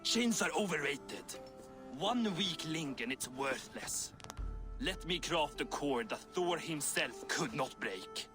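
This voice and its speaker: An actor playing a shrewd, gruff, middle-aged dwarf craftsman, blunt and boastful, in a video game. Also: on the high side, expressive, slow pace, over music. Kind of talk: video game cinematic acting.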